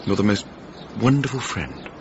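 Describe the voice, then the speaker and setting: An actor playing a buttoned-up English gentleman in a radio drama, proper, slightly pompous and hesitant. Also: on the low side, lively intonation, normal speed. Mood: awkward, tender. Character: fussy, buttoned-up English gentleman neighbour, awkwardly fond. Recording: slightly dull, clearly noisy background.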